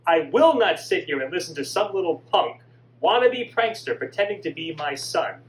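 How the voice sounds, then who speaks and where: A man voicing a grieving middle-aged mother, emphatic and sharp when scolding. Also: high-pitched, sing-song, measured pace, roomy sound. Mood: angry. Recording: distant mic with faint hum.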